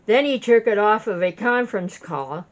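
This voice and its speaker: A woman reading her own written witness statement aloud, recorded in low fidelity. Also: lively intonation, normal speed. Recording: dull lo-fi recording.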